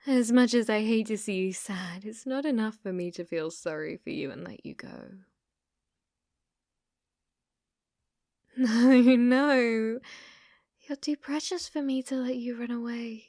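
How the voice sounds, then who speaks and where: A woman's voice: fairly high pitch, normal speed, darkish tone, dry recording, clean studio sound, natural voice. A British woman playing an obsessive, sweetly possessive young female stalker in an ASMR roleplay, coaxing and often dropping into whispers. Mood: tender, possessive.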